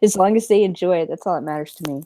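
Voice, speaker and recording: A woman's voice: fairly low pitch, racing, dark tone. A woman who sings, speaking as a podcast guest in casual chat, blunt and crude and laughing often.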